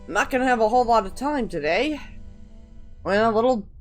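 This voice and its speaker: A woman's voice: a little high, lively intonation, brisk, soft background music. A woman hosting a let's play with casual, informal, sweary gaming commentary.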